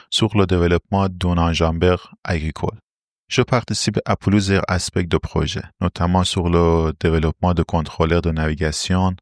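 Low-voiced Iranian-accented man, formal and explanatory. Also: no room sound, clean studio sound. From Iran. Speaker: interview guest, robotics R&D engineer. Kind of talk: prepared interview answers.

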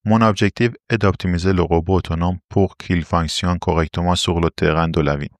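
Low-voiced Iranian-accented man, formal and explanatory. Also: fairly even intonation, fairly quick, no echo, clean studio sound. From Iran. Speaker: interview guest, robotics R&D engineer. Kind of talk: prepared interview answers.

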